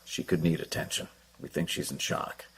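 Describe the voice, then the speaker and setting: An actor playing a dutiful government security agent, briefing in clipped, deferential phrases in an audio drama. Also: male voice, extremely low pitch, level tone, normal speed, fairly bright tone, husky, heavily acted. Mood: deferential, firm. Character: brisk, dutiful male Secret Service agent.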